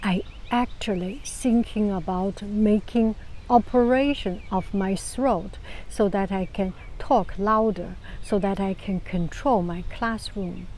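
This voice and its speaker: A woman with a Chinese accent and a low, soft voice giving calm, casual advice to camera, often restarting phrases and sometimes dropping to a whisper. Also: a little hiss or hum. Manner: calm, unhurried, many restarts. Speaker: vlogger.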